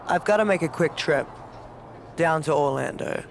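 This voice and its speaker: A woman's voice: low-pitched, moderate pace, slight background noise. A woman playing a cocky, teasing champion wrestler in a video game cutscene. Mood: coolly sly.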